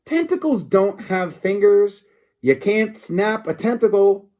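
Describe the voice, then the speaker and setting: A man's voice: sits high, sing-song, takes it slowly, warm tone, pitched above the performer's own voice, exaggerated voice. A man voicing a nitpicking young schoolboy sidekick in a comic storybook, earnest and argumentative. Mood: objecting.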